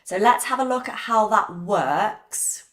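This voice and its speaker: Hoarse woman teaching a class. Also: medium pitch, lively intonation, a little slow, a bit bright. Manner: chatty, greets viewers by name. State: hoarse from a cold. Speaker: biology teacher. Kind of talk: live-streamed revision lesson.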